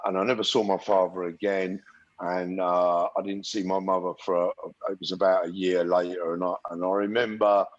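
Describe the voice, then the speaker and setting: Middle-aged man from Algeria and England, low-pitched, thoughtful, interview guest with muffled lo-fi audio. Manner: reflective, frequent restarts and fillers. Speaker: podcast guest. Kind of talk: conversational interview storytelling. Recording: dull lo-fi sound.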